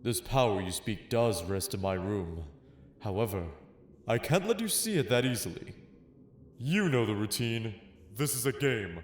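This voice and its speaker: A man playing a confident, taunting young master of dark games in a manga audio drama, with a cool, theatrical delivery. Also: mid-range voice. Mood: cool, guarded. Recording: echoey room effect.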